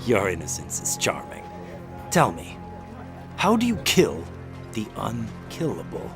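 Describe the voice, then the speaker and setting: A man's voice: lowish voice, wildly swooping pitch, unhurried, heavily acted. An actor playing a stern male monster hunter in a radio drama, preaching with pompous, dramatic delivery. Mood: grandiose menace. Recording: prominent music bed.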